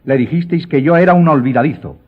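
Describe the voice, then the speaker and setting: A proud count acted by a man in a radio play, husky and pompous, pressing his point with stiff military formality. The audio is narrow and phone-like. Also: a little high, quick pace, close to an everyday voice. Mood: indignant. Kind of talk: Spanish radio drama acting.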